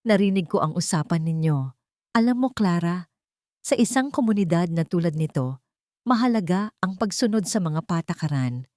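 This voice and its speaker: A woman's voice: on the low side, expressive, normal speed, a little mellow, no room sound, clean studio sound, close to an everyday voice. A woman voicing a cold, commanding, condescending rich society lady in an audio story. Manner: icy, authoritative, condescending.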